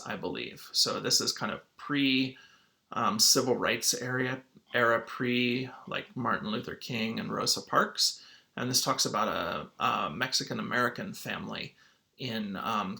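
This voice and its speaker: A man's voice: medium pitch, vocal fry, pushed and bright. A man speaking as a teacher to his students, in an informal read-aloud lesson style.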